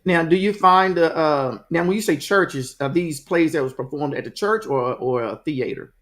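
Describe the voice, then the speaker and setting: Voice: mid-range voice, normal speed, a bit bright. An interview host asking loose, chatty, rambling questions.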